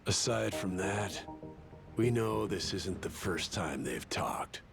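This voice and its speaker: Gravelly, wary pirate crewman. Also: low voice, lively intonation, slow pace, exaggerated voice. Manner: grave, plain-spoken. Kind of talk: dramatic video game cutscene acting. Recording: game music behind the voice.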